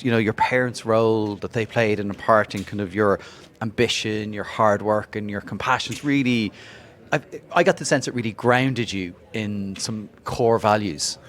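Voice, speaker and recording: A man's voice: fairly low pitch, normal speed. A man hosting a marketing podcast, upbeat and encouraging as he introduces and questions his guest. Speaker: podcast host. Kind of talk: interview podcast.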